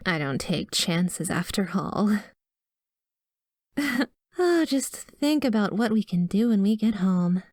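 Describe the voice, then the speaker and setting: A woman's voice: medium pitch, expressive, takes it slowly, darkish tone, dry recording, studio quality. A woman playing a breezy, cutesy female doctor with an obsessive, coaxing streak in an ASMR roleplay. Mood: smitten, possessive. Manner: breezy, cutesy, coaxing, blithely delivering grim news. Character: chirpy, awkward female doctor, secretly an obsessive yandere stalker. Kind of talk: ASMR roleplay acting addressed to the listener. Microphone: close studio mic.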